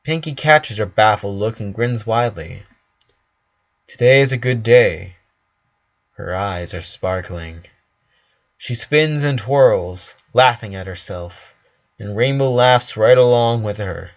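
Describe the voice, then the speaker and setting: Slow, low-voiced male story narrator. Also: animated, dull audio.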